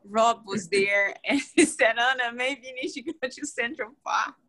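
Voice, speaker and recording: A woman's voice: fairly high pitch, moderate pace. A woman from Brazil, introducing herself as a new board member on a video call, chatty with frequent laughs and restarts. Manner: chatty and warm, laughs often, many restarts.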